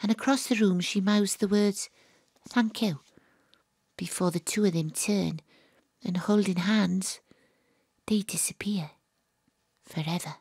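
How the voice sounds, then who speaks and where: A woman's voice: mid-range voice, slow, pauses often, darkish tone, husky, no room sound. An actress playing an earnest, emotional teenage girl in an audio drama. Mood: wistful. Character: earnest teenage girl narrating her ghostly adventure, emotional. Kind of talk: audio drama monologue and dialogue.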